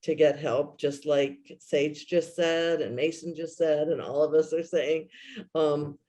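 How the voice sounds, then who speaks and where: A woman's voice: deep voice, fairly even intonation, measured pace, mellow. A woman speaking as a therapist in a conversational panel chat, with a candid, emphatic manner. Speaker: guest therapist. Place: remote video call.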